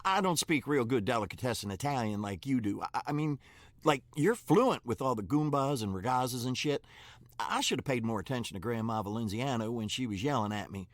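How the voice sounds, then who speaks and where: A man's voice: medium pitch, lively intonation, normal speed, a bit bright, dry recording, close to an everyday voice. A man playing a flustered, dim small-town shop worker in a comedic audio drama, stammering and rambling in a folksy, nervous way. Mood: panicky.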